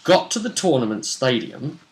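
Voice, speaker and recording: A man's voice: medium pitch, big pitch swings, moderate pace. A man talking casually as a game master, recounting what happened in a tabletop session.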